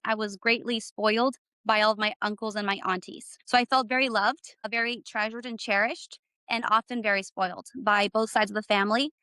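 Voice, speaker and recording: A woman's voice: on the high side, sharp tone, smooth, no room sound. A middle-aged woman from Canada, a guest in an interview, speaking reflectively with hesitant fillers. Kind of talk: personal interview conversation.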